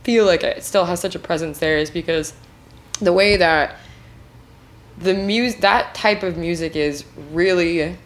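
Low-voiced chatty woman from Washington. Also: unhurried. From Washington state, USA. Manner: chatty, filler-heavy storytelling. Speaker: podcast co-host. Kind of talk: casual chat.

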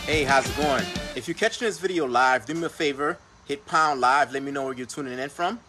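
A man's voice: medium pitch, a little fast, music in the background. A Haitian-born man whose first language is Creole, hosting a motivational talk on his livestream show. He speaks earnestly and keeps coming back to phrases like "right?" and "per se.". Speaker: podcast host.

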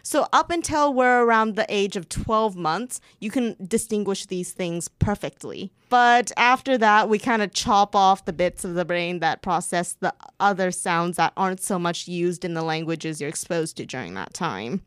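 A woman's voice: on the low side, moderate pace, hardly any pauses, clean tone, no echo. Young canadian woman on campus radio, playful and explainy, teasing jokes, really dry close mic. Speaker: student radio co-host. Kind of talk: casual radio chat.

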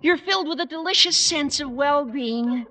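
An actor playing an eager, scheming high school boy with a squeaky adolescent voice and earnest, dramatic persuasion in old radio comedy style. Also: normal speed, bright, forceful tone. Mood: dreamy, rapturous. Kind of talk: 1940s-50s radio comedy acting.

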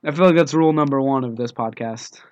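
A young man with a scratchy voice from a cold, co-hosting a casual podcast over the phone with dry, deadpan jokes. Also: medium pitch, fast talker. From United States. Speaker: podcast co-host. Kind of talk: casual chat. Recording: dull phone-line sound.

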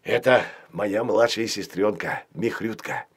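Man playing a young dragon, low soft voice, kind, drawn-out words, children's storybook. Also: breathy edge. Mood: fond, confiding. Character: friendly young male dragon, kind and practical.

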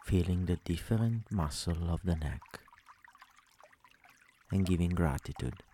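A man with a very deep, dark and relaxed voice guides a sleep meditation. He speaks slowly and soothingly, leaving long gaps between phrases. Faint noise like trickling water runs behind him.

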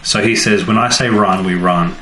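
A man co-hosting a podcast in casual banter, chipping in with wry, animated remarks. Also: on the low side, moderate pace. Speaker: podcast co-host. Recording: roomy echo, faint background noise.

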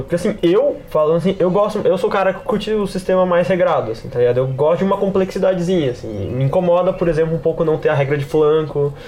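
Fast-talking Brazilian man hosting a podcast. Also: on the high side, a little mellow, a little hiss or hum. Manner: wordy, explanatory, peppers talk with slang and tags. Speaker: podcast host and game master. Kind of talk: casual podcast discussion of tabletop role-playing games.

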